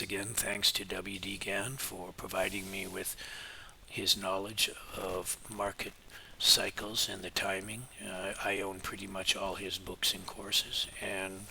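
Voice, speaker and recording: A middle-aged man with a hoarse, scratchy voice, giving a relaxed, rambling market update full of pauses. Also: low voice. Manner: relaxed and meandering, many filler pauses. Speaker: market forecasting teacher. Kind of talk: casual spoken market update.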